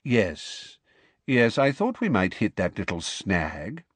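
A man voicing an old headmaster wizard from a storybook, calm, pleasant and politely wry. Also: mid-range voice, normal speed, dry recording, voice pushed up. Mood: gently apologetic. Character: old male headmaster wizard, serene, courteous, quietly amused.

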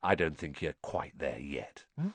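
A vain, jovial gentleman performed by a male audiobook reader, full of booming self-satisfaction. He talks down paternally with theatrical rises and falls, close and dry. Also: expressive, unhurried, husky, dry recording, exaggerated voice. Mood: smug, paternal. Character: jovial, booming, vain, patronising grown man. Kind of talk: audiobook character voice.

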